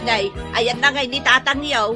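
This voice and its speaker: A woman's voice: on the high side, steady tone, normal speed, over music, lightly acted. A woman with an Ilocano accent playing a nagging, exasperated mother and wife in a radio drama. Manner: nagging, emphatic scolding.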